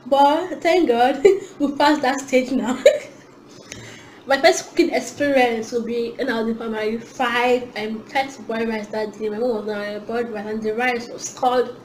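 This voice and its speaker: A woman's voice: on the high side, reverberant, slight background noise, rough recording. A Nigerian woman in her twenties chatting casually to camera in a vlog, giggling often and talking with her hands. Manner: chatty, giggly, animated hand gestures. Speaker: vlogger. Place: small indoor room at home.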